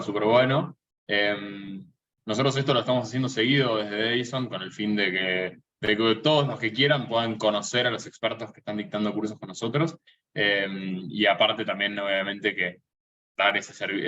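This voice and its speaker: A man hosting a live online webinar in a relaxed, hesitant way, with many fillers and restarts. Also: fairly low pitch. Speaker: co-founder and event host. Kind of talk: casual live webinar introduction. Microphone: video call. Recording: distant, muffled video-call audio.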